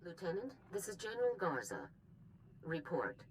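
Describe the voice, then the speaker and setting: An actress playing a businesslike military general in a video game, clipped and authoritative. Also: female voice, lowish voice, huge pitch swings, moderate pace, poor audio. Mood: brisk, official.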